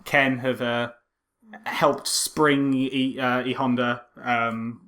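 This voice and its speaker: A man's voice: medium pitch, flat delivery, slow, fairly bright tone. A man co-hosting a podcast with casual comedic film commentary, often stammering and repeating the starts of phrases. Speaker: podcast co-host.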